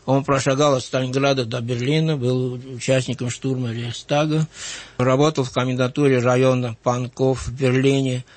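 A man in his sixties from Georgia with a light Georgian accent, a writer recalling his life in an interview, measured and thoughtful with frequent hesitant fillers. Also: mid-range voice, little pitch movement, no room sound. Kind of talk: reflective interview recollection.

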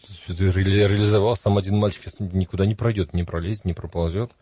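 A man telling anecdotes as a guest in a studio talk, quoting people with lively asides. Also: sits low, fast talker, slightly rough. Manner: lively anecdotes with acted quotes. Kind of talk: casual storytelling in a studio talk.

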